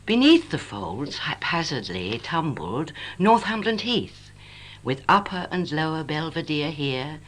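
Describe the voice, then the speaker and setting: An English woman reciting her own poem in a wistful, lyrical and measured way, on an old home cassette recording. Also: deep voice, big pitch swings. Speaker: poet reading own work. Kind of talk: expressive poetry recitation. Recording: noisy 1980s home cassette, humming. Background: tape noise and hum.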